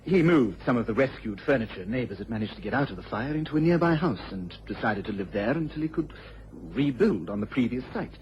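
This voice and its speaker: A man's voice: mid-range voice, animated, a little fast, darkish tone, lightly acted. A man playing an earnest, respectful young English police inspector in a radio drama. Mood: grave, respectful. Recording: dull vintage radio recording, faint noise.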